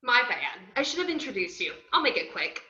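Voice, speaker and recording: A woman's voice: a little high, sing-song, brisk, bright, forceful tone. A woman in a table read, playing a brash, cheeky young narrator with a sardonic, slangy way of telling a crime story. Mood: brash, chatty. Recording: roomy, dull video-call audio.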